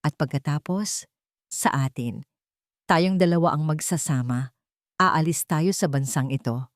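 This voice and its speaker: A woman voicing a calm, menacing man who plots with his lover in an audio drama, tender and threatening. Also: deep voice, unhurried, no room sound, studio quality. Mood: tender scheming. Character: calm, menacing male lover and secret accomplice. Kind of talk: Tagalog audio drama storytelling.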